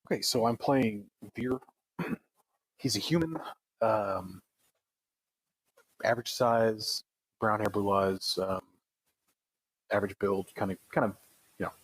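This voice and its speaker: A man's voice: fairly low pitch, slow, long pauses, slightly rough, no room sound, poor audio. A man casually describing his tabletop game character, hesitant and searching for words.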